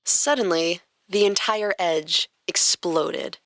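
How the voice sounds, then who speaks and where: A woman hosting a podcast and reading a story aloud as expressive scripted narration. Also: on the low side, slow pace, noisy background. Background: music.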